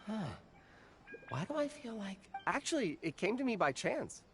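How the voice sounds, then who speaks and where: A man's voice: high-pitched, very animated, moderate pace, fairly bright tone, slight background noise, exaggerated voice. A man acting a nervous, eager, deferential food stall vendor in a video game, polite and prone to stammering. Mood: explaining. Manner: flustered and polite, stammers then explains eagerly. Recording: game music behind the voice.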